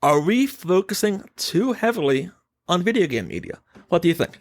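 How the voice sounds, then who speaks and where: A man's voice: high-pitched, sing-song, normal speed. A man hosting a casual podcast, giving his opinions emphatically and swearing casually. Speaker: podcast host.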